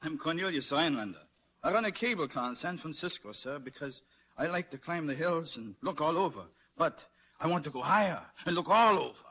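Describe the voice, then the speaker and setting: A man's voice: fairly high pitch, animated, brisk, bright, forceful tone. An actor playing an eager working man who dreams of flight, in a 1940s radio drama. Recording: noisy old radio broadcast.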